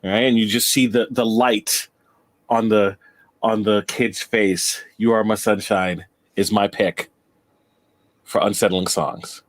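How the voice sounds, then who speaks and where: A man who is a guest in a casual debate, setting a scene vividly like an unhurried storyteller. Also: fairly low pitch, fairly even intonation. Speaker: podcast guest.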